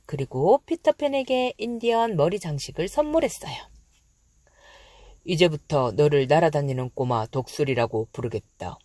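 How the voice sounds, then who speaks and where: Casting: female children's storyteller, expressive and animated, with a dry recording. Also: medium pitch, no room sound.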